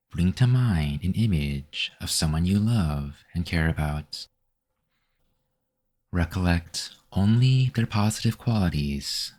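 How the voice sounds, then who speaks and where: A man guiding a meditation with calm, measured, instructive scripted narration. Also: deep voice. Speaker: meditation guide.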